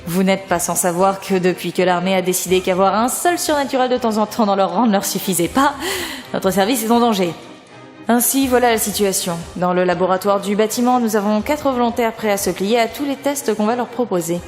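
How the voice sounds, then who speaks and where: A woman's voice: mid-range voice, a little fast, darkish tone, natural voice. A woman playing a stern, foul-mouthed government lab boss in an audio drama, curt and commanding. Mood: authoritative, businesslike. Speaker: lab director. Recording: long hall reverb, music underneath. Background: music.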